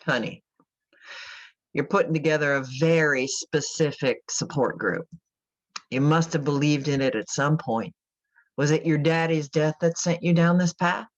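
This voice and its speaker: An actress playing a world-weary, religious middle-aged mother in an audio drama, plainspoken, measured and folksy. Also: sits low, dull audio. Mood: pointed, probing. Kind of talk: naturalistic podcast radio drama acting.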